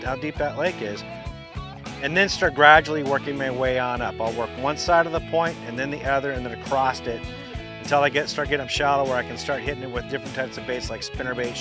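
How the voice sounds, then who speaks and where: A middle-aged man giving practical fishing tips outdoors on a boat by a lake, explaining step by step with frequent restarts. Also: mid-range voice. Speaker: fishing instructor. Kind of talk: outdoor instructional talk. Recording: music bed under the voice. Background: guitar music.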